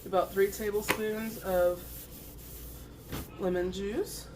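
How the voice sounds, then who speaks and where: A woman's voice: mid-range voice, slow, background noise. A woman, chatting casually while she cooks in her home kitchen, her voice a bit worn from allergies. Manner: chatty, relaxed, with fillers and stumbles. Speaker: cooking vlogger. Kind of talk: casual cooking vlog narration. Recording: kitchen clatter in background. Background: clinking dishes, pots and pans.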